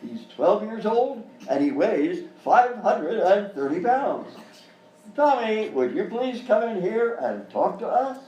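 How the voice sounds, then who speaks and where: An actor playing a falsely cheerful, patronizing children's TV host in a live comedy parody. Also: male voice, high-pitched, big pitch swings, slow, dark tone, muffled recording, exaggerated voice. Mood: chirpy. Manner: exaggerated jolly, patronizing. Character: forced-cheerful grown-up male children's TV show host. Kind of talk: parody of early local kids' TV, live sketch acting. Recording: roomy live stage, distant mic, faint noise.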